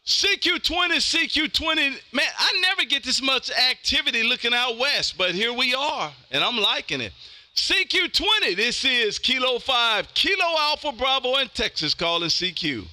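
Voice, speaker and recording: American male radio host, high-pitched and exuberant, slangy delivery, very lively intonation. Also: moderate pace, dry recording. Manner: exuberant, loud, animated, slangy. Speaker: amateur radio operator hosting a live stream. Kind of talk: casual ham radio chat. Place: home radio room.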